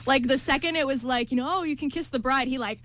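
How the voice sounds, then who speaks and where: A woman's voice: sits high, quick pace, heavy background noise. A woman co-hosting a radio show, chatting casually with a playful, slangy delivery. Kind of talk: casual anecdotal chat.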